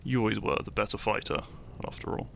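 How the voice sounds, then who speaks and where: A man playing a shy, teasing, lovestruck young hero in an intimate romantic audio roleplay, speaking softly and hesitantly with small stammers. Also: on the low side, fast, a little hiss or hum. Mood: curious, fond. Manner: soft, hesitant, stammering, breathy sighs and chuckles.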